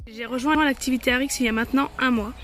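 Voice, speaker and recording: A woman in her early thirties giving a quick, persuasive testimonial. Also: high-pitched, fairly even intonation, a bit bright, soft background music. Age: 30s.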